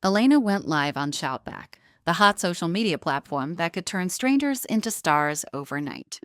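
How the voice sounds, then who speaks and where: A woman narrates a scripted drama in a slightly low voice. Her delivery is measured and theatrical, like serialized audio fiction, with no room sound around it. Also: expressive, no echo, studio quality.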